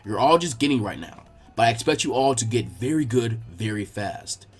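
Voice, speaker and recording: Man acting calm male ninja teacher, lowered pitch, measured, encouraging, storybook dialogue, faint music. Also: husky. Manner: measured, reassuring, laid-back. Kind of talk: fan fiction character dialogue.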